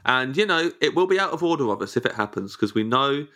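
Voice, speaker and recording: A man's voice: medium pitch, lively intonation, fast talker, bright, forceful tone. A man co-hosting a podcast in casual comedy chat, with a playful, joking manner. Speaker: podcast co-host.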